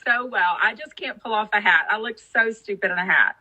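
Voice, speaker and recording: A woman's voice: medium pitch, fairly quick, pushed and bright, low-quality recording. A woman from Texas co-hosting a podcast, chatting and gossiping casually with lots of fillers. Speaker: podcast co-host. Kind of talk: casual recap chat.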